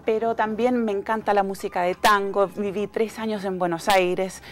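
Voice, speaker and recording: A middle-aged Chilean woman with a Chilean Spanish accent, a flutist guest on a live TV morning show, bubbly and halting with fillers, on a lapel mic in a news studio. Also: medium pitch, fairly even intonation, a little hiss or hum. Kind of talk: live TV interview.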